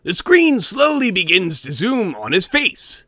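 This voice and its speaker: A man voicing a gruff, greedy old cartoon sea-crab boss, gravelly and theatrical, narrating a comic scary story in character. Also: high voice, huge pitch swings, unhurried, bright, forceful tone, no room sound, studio quality, full character voice. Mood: ominous storytelling. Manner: theatrical, with grumbling asides and barking chuckles.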